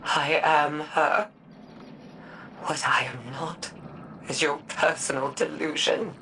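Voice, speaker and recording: A woman playing a grieving, defiant replica of a lost wife in a video game, speaking sorrowfully and deliberately. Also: low voice, steady tone, bright, forceful tone, a little hiss or hum, full character voice. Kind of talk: dramatic video game acting.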